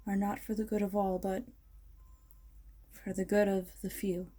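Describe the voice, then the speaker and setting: A woman leading a guided meditation in an ASMR style, speaking in a ceremonial, measured and soothing manner. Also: medium pitch, level tone, mellow.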